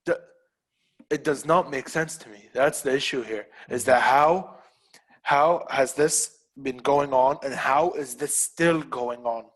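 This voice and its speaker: A man's voice: mid-range voice, unhurried, rough recording. A man hosting a podcast, speaking in an impassioned, emphatic way and repeating phrases for stress. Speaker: podcast host. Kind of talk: casual podcast talk.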